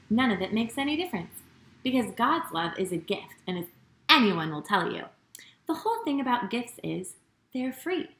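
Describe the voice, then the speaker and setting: A woman pastor hosting storytime for young children, talking and reading aloud in an animated, sing-song manner straight to her listeners. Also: on the high side, echoey room.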